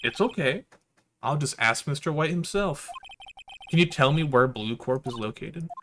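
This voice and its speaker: A man's voice: a little high, animated, normal speed, fairly bright tone, slight background noise. A man voicing an earnest young defense attorney in a visual novel, direct and questioning. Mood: determined. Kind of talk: visual novel dialogue read aloud.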